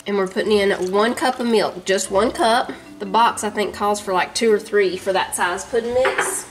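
A woman's voice: medium pitch, moderate pace, over music, low-quality recording. A woman in her thirties with a Southern US accent, chatting homily to viewers while cooking in her home kitchen. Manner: chatty, homey, talks to viewers as y'all. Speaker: home cooking vlogger, mother. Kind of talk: casual cooking vlog narration.

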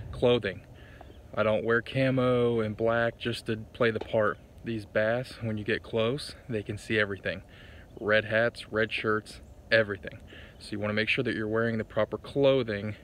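A man's voice: lowish voice, level tone, normal speed, fairly bright tone, a little hiss or hum. A young man giving fishing tips in an upbeat, chatty way, filmed outdoors on a lakeshore with a phone held at arm's length. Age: young adult. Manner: chatty, upbeat, talks straight to camera. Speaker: fishing vlogger. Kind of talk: casual outdoor tips vlog.

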